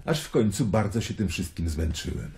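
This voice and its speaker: A man's voice: low-pitched, big pitch swings, moderate pace, vocal fry, dark tone, exaggerated voice. An actor playing a world-weary, searching Roman nobleman in a radio play. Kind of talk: dramatic radio play acting.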